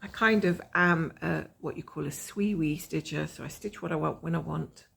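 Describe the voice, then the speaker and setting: A woman with a croaky voice from a cold, chatting casually and rambling as she shows her cross-stitch progress from home. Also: deep voice, normal speed, fairly bright tone. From Scotland. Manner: rambling, chatty, self-mocking.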